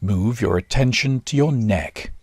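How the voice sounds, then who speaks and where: A man guiding a body scan meditation in short, measured instructions with long pauses. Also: mid-range voice, husky, no room sound. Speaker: meditation guide. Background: nature sounds.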